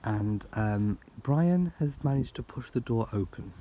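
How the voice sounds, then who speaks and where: British vlogger, relaxed and unhurried, warm low tone, a little sniffly, outdoor phone audio. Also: male voice, slight background noise. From Britain. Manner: chatty, coos at his cats in a high baby voice. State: slightly sniffly. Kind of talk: casual vlog narration. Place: home garden, outdoors.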